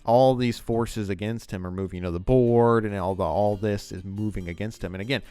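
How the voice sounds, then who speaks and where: A man's voice: fairly low pitch, steady tone, brisk, dark tone, clean tone, dry recording, soft background music. A man giving enthusiastic, rambling commentary on a TV scene as the host of a video essay.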